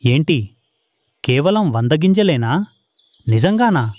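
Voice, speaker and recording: A man's voice: fairly high pitch, very animated, takes it slowly, darkish tone, dry recording, studio quality. A man playing a poor, trusting father pigeon, earnest and anxious, in a children's cartoon. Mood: surprised, hesitant. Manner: earnest, innocent, pleading.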